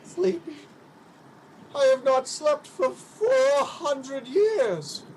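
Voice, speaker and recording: A man's voice: very high-pitched, big pitch swings, extremely slow, a little mellow, slight background noise, exaggerated voice, poor audio. A man playing a proud, mournful, centuries-old aristocratic ghost in a radio play, with a pompous, fussy, theatrical delivery. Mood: mournful, pleading.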